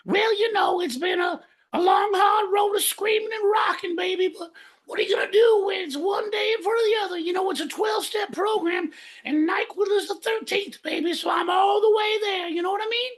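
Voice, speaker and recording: Shrieking, very high-pitched manic rocker. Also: male voice, poor audio. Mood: manic, hyped. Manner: shrieking, drawn-out excited delivery with woo hollers. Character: manic over-the-top screaming rocker hype man. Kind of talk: comedy podcast character bit.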